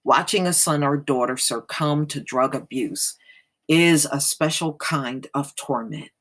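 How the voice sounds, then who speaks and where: A woman's voice: deep voice, a bit bright. An American woman hosting, reading an article aloud and adding plain-spoken casual commentary.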